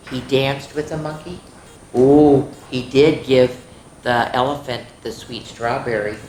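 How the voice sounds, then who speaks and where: A woman hosting a story time for young children, reading in an animated, chatty way and putting questions to her young listeners. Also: deep voice. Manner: chatty, asks listeners questions and answers them herself. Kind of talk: animated picture-book read-aloud for young children. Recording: echoey room with faint background noise.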